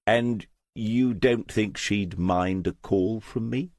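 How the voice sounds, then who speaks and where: A man's voice: fairly low pitch, takes it slowly, darkish tone, dry recording, clean studio sound, pitched above the performer's own voice. A man voicing a shrewd older male detective in an audiobook, questioning courteously and casually. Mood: careful.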